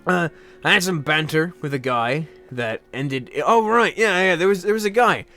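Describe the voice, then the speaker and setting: A young man casually telling rambling anecdotes in a halting way, repeating words and often chuckling. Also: a little high, animated, sharp tone, soft background music.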